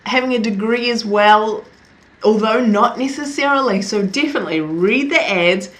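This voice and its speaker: A woman's voice: on the high side, normal speed. A young woman from New Zealand with a Kiwi accent, vlogging to camera in a chatty way, often laughing at herself. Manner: chatty, laughs often, self-deprecating asides. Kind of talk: casual talk to camera.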